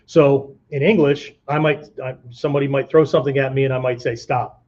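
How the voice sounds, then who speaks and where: A man's voice: medium pitch, flat delivery, fairly quick, a little mellow, lo-fi sound. A man giving an informal martial arts lesson in an emphatic, conversational way, often checking in with the listener. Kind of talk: informal spoken lesson with vocal demonstrations.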